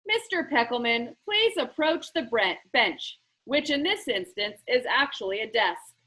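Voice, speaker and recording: A woman's voice: expressive, sharp tone. A woman voicing an eccentric, grandly stern man who presides like a judge in a children's storybook. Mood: judging, solemn. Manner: booming, theatrical, deliberate. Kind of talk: children's book read-aloud.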